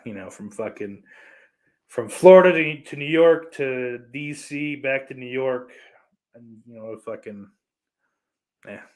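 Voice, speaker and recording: A man's voice: medium pitch, slow pace, long pauses, darkish tone. A man hosts a casual podcast in a mid-range voice. He talks off the cuff to his listeners, reading and answering their comments. His language is blunt and full of swearing. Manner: profane, blunt. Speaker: podcast host.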